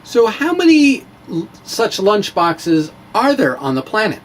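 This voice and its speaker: A man giving a theatrical, emphatic dramatic reading of a science blog, with deliberate pauses. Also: sits high, huge pitch swings, slight background noise. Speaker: science blogger and presenter.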